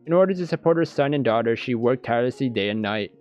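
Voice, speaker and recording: A man reading a visual novel aloud in a let's play, breaking off for halting, stammering reactions. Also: mid-range voice, lively intonation, darkish tone. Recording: dull lo-fi audio. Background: guitar background music.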